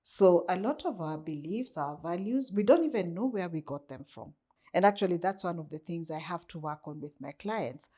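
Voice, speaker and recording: A woman's voice: on the low side, expressive, moderate pace, dark tone. A Kenyan woman, a coach, talking thoughtfully in an interview and posing rhetorical questions to herself. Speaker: guest, coach. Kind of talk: reflective interview conversation.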